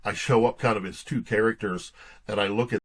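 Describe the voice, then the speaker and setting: A man speaking as an interview guest in a casual, reflective and halting conversational way. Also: lowish voice, fairly even intonation, a bit bright. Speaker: guest author being interviewed.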